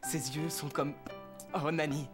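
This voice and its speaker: A man playing a polite, earnest, smitten young gentleman in a French animated film dub. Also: a little high, measured pace, over music, close to an everyday voice. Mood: lovestruck, bewildered. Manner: courteous, earnest.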